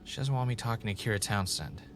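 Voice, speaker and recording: An actor playing an intense, brooding podcast host in an audio drama, defensive and unnerved. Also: male voice, fairly low pitch, lively intonation, fast, noisy background. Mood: brooding, uneasy. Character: intense, obsessive, unnerved male podcast host, former game-show contestant. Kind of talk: audio drama mixing confessional monologue and conversation.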